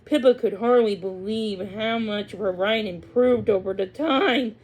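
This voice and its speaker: A woman's voice: mid-range voice, unhurried, a little mellow, poor audio. A woman reading a children's story aloud, now and then tripping over a word and going back to it. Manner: stumbles and rereads words. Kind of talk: read-aloud storybook narration with acted dialogue.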